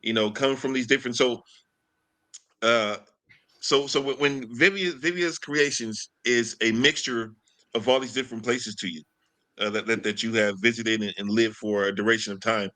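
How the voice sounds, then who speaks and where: A man co-hosting a podcast interview, asking long, halting questions full of fillers and repeats. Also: medium pitch, pushed and bright. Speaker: podcast co-host.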